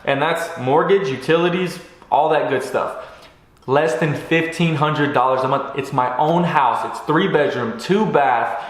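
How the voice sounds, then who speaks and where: A young man delivers a motivational vlog with preachy energy, hammering his points through repetition. His voice sits slightly high, and every word bounces around a very reverberant room. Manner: energetic, preachy, repeats himself for emphasis. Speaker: vlogger. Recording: very echoey room.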